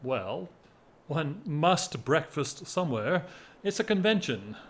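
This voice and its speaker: Slow, wry English gentleman, lovesick. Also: on the high side, sing-song, heavily acted. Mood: wry, flippant. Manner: wry, measured, theatrical. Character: whimsical lovesick English gentleman of forty-one, self-mocking. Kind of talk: period audio drama acting.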